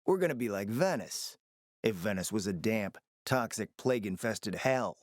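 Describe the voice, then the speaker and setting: A man's voice: lowish voice, expressive, moderate pace, no echo, clean studio sound, lightly acted. An actor playing a scheming, foul-mouthed man in a post-apocalyptic video game, confident and calculating, with an emphatic, sardonic delivery. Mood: calculating, persuasive. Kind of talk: dramatic video game dialogue.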